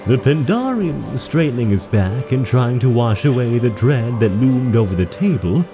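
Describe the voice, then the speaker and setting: An actor playing a solemn storyteller, narrating a fantasy audio drama in a dramatic, literary manner. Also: male voice, medium pitch.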